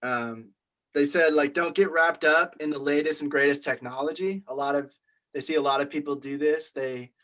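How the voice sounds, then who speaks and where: A man with a fairly high voice leads a group discussion. He explains his points in a conversational, informal teaching style, as in a casual class meeting. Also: steady tone, moderate pace, a bit bright.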